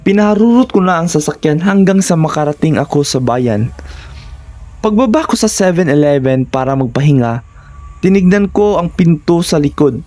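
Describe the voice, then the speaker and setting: A man's voice: a little high, animated, moderate pace, darkish tone, faint music behind. A man with a Filipino accent giving a scripted first-person narration of horror stories.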